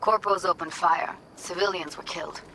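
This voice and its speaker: A woman's voice: lowish voice, moderate pace, vocal fry, background noise. A woman playing a jaded, weary young woman in a video game, tough but tender, talking in casual, clipped slang. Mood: matter-of-fact. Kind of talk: naturalistic video game voice acting.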